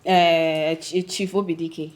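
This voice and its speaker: A woman's voice: sits low, flat delivery, slow, a little hiss or hum. A woman playing a teasing, dramatic young village friend in a film drama, with a Nigerian accent and a theatrical way of talking. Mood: amused. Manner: theatrical, teasing, interjects with local words. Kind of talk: naturalistic film drama dialogue.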